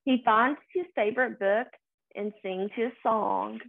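A young adult woman reading a children's picture book aloud in a sing-song, deliberate way, recorded indoors. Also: fairly high pitch, gravelly. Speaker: story reader. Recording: phone-like, narrow-band sound.

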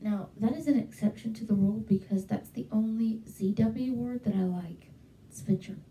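Young woman rambling happily about stuff, warm mellow voice, roomy bedroom echo, bit far off. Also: on the high side, moderate pace, slight background noise. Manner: chatty, enthusiastic, many fillers. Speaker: vlogger. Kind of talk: casual talk to camera. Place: small bedroom at home. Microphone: handheld mic held close, webcam.

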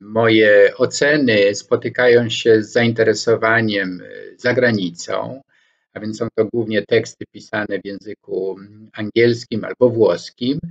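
A man in his sixties from Poland, giving a reflective lecture to camera from his home study, pausing often with hesitation sounds as he picks his words. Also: lowish voice, fairly even intonation, vocal fry. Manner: slow and deliberate, frequent hesitation fillers, gestures with both hands. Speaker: scholar commentator. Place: home study with bookshelves, indoors. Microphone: webcam or laptop microphone, head-and-shoulders framing. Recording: dull lo-fi webcam sound.

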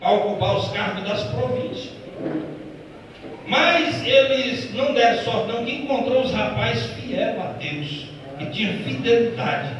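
A man with a Brazilian Portuguese accent preaching a Pentecostal sermon, swinging from quiet, fast asides to loud, drawn-out proclamations and calling his listeners "brother". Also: very high-pitched, expressive, slight background noise. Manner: addresses listeners as "irmão" and asks them questions. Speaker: pastor. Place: church sanctuary. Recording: echoey church hall from a distance.

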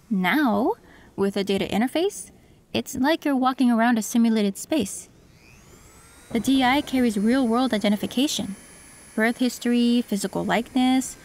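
Woman doing a chill cyberpunk tech lady explaining stuff, kinda high voice, quiet hiss behind. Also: lightly acted. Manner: calm, matter-of-fact, explaining. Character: female cyberpunk tech who modifies androids. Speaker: voice actress in audio roleplay. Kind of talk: scripted audio roleplay.

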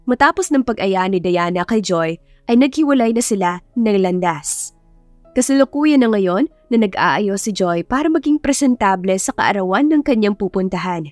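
A woman narrates a scripted romance as an audiobook reader. Her voice is mid-pitched and recorded dry and close, with music playing underneath. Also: animated, normal speed, darkish tone, no echo.

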